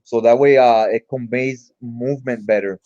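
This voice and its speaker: A man's voice: mid-range voice, steady tone, normal speed, mellow, muffled recording. A man giving casual read-along comic commentary in an informal, slangy, mildly profane way. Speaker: comic book reviewer.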